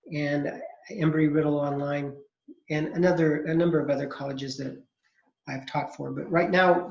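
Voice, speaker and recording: A man in his forties, a college accounting instructor, introducing himself to his class in a relaxed, chatty way over a video call. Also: fairly high pitch, creaky. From California, USA. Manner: relaxed and chatty, with frequent fillers. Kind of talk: casual online class introduction. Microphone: computer mic on a video call. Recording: echoey, dull video-call audio.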